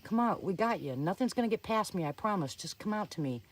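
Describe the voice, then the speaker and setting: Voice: mid-range voice, animated, quick pace, distant mic, voice pushed up, close to an everyday voice, low-quality recording. A storyteller voicing a frightened, protective young man in a horror tale, urgent and exclaiming. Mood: pleading. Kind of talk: amateur dramatic story reading.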